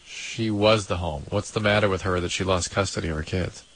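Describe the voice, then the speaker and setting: Blunt, fast-talking older male radio doctor. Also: deep voice, fairly bright tone, low-quality recording. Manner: blunt, clinical, impatient. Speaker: radio co-host giving medical advice. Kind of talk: call-in advice. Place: radio studio. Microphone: studio microphone on stand.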